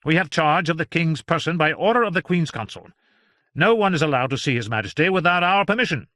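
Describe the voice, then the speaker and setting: A man's voice: on the high side, animated, brisk, bright, forceful tone, no echo, clean studio sound, voice pushed up. A man voicing a pompous male royal physician who speaks in a haughty, commanding, scornful way.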